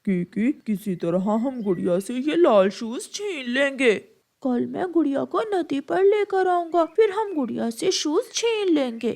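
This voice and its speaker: A woman's voice: high-pitched, animated, unhurried, vocal fry, darkish tone, heavily acted. A woman voicing a mischievous, scheming young boy bird with a childlike, eager manner in a cartoon. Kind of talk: Hindi moral-story cartoon dialogue.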